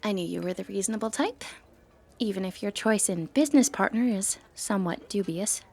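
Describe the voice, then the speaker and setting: A woman's voice: medium pitch, expressive, fairly quick, vocal fry, darkish tone, slightly rough. A woman acting a sly, flirtatious thief in a fantasy ASMR roleplay, with a teasing, confident, wry way of talking. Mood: cool bargaining.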